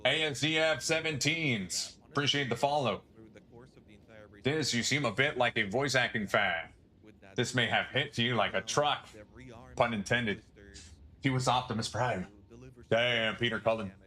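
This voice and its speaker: Man, streamer, casual rambling chat, forceful bright tone, mid pitch, swears and chuckles. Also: unhurried, long pauses.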